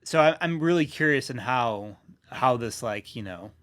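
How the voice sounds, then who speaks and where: A man's voice: medium pitch, expressive, moderate pace, fairly bright tone. Curious, encouraging man in casual conversation. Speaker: podcast co-host. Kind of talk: casual interview-like chat.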